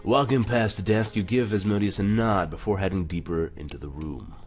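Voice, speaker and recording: A man with a low voice hosts a gaming video. He reads game text aloud in a casual way, tripping over words at times, with music playing behind him. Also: fairly quick, darkish tone. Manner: casual, stumbles while reading aloud. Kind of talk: let's play commentary, reading game text aloud.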